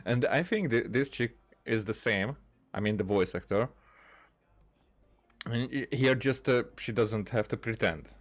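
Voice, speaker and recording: A man giving casual let's-play commentary, musing aloud in a halting, hesitant way. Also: mid-range voice. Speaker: gaming video host.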